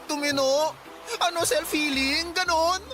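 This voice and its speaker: Isang babaeng gumaganap na madrama at maangal na baklang binatilyong anak sa isang drama sa radyo, maingay ang rekording. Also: female voice, sits high, a little slow, music in the background. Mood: sassy, protesting. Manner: whiny, dramatic, effeminate. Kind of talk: radio drama acting.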